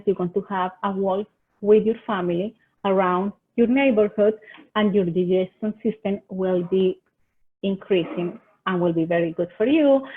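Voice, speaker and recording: A woman's voice: medium pitch, a little hiss or hum. A woman from Colombia with a Colombian Spanish accent, giving earnest home-living tips in a webinar presentation. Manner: earnest, gives advice in short phrases with some hesitations. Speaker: extension agent presenter. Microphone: video call. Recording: tinny phone-like video call audio.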